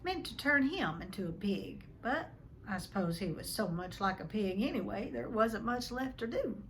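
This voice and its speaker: A woman voicing a gruff, big-hearted giant gamekeeper with rough, colloquial speech in a storybook read-aloud. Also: mid-range voice, animated, normal speed, a bit bright, a little hiss or hum. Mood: rueful.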